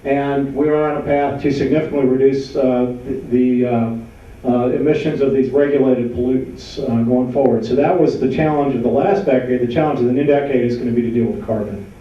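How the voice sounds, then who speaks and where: A man from New York gives a slide presentation in a large meeting room, his loud voice sounding dark and mellow. Heard from far off the mic in a dull recording that rings with heavy hall echo, he fills pauses with uh and calls for the next slide. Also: medium pitch, fairly even intonation. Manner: loud voice, many uh fillers, asks for slides. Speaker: panel speaker. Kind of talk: slide presentation to a local audience.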